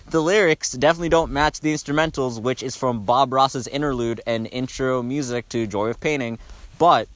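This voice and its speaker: A man's voice: medium pitch, a bit bright, smooth, dry recording. A young man talking casually and bluntly about songs as a video host, swearing freely.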